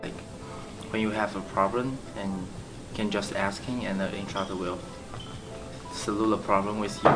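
Young taiwanese student, lowish flat voice, plain english, music underneath. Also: male voice, moderate pace, long pauses, poor audio. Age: young adult. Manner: simple English with restarts.

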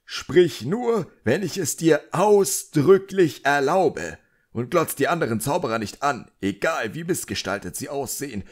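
A man's voice: fairly high pitch, lively intonation, unhurried, voice pushed up. A man voicing a stern, pompous magician master in an audiobook, imperious and scolding. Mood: bossy.

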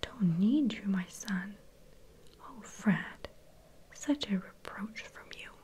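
A woman voicing a gentle, pleading widowed mother in a soft whisper, reading a storybook aloud. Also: mid-range voice, lively intonation, slow pace.

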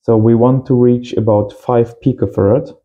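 A man's voice: medium pitch, fairly even intonation, moderate pace, phone-quality sound. A man in his thirties giving a casual hands-on tutorial as host, in simple short phrases. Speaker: host of amateur radio channel. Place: small indoor home workshop.

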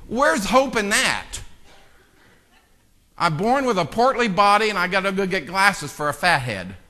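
A man preaching a sermon as pastor, swinging from hushed, joking asides to loud, impassioned exclamations. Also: high-pitched, sing-song, unhurried. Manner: self-deprecating joking with the congregation. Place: church sanctuary. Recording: long church-hall reverb.

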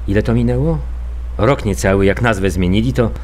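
An actor playing a weary, diplomatic police detective in a naturalistic radio crime drama, speaking haltingly. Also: male voice, fairly low pitch, a little mellow, a little hiss or hum, lightly acted. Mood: deferential, careful. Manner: hesitant, measured, halting.

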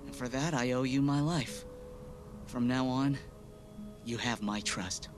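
Storybook role: stern young male captain, low and clipped, voiced by a woman. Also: a little slow, over music. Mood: grateful.

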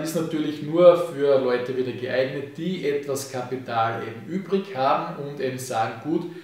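A man, casually explaining finance to camera in a relaxed way, full of fillers. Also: medium pitch. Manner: relaxed, frequent fillers and "eben", hand gestures. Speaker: finance YouTuber. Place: home office with bookshelves, indoors. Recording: echoey room, distant mic.

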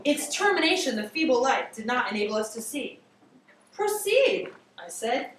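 A woman's voice: a little high, big pitch swings, normal speed. A woman in her thirties giving a theatrical, emphatic dramatic story reading, drawing out words like a teacher before a class. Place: classroom, indoors. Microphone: far camera across the room. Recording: distant, echoey classroom recording with noise.

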